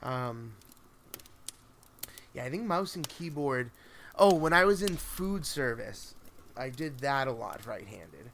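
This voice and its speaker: A man hosting a story-reading livestream, chatting casually in a halting, think-out-loud way over background noise. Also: medium pitch. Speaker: host of a spooky story reading stream. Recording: clear steady background noise.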